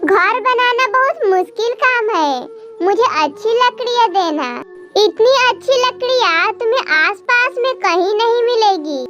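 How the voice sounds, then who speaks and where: A woman's voice: very high-pitched, expressive, unhurried, pitched above the performer's own voice. A woman voicing small, earnest forest birds in a children's cartoon story, in a dull recording with music behind. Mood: chatty.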